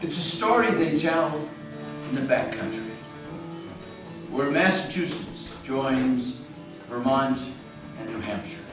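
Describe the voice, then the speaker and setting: A man playing a folksy New England storyteller, narrating a legend in a measured, theatrical way at a staged reading. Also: medium pitch, animated, music in the background. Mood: folksy, dramatic. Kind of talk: theatrical folk-tale narration. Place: meeting house stage. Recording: echoey hall, distant mic, faint noise.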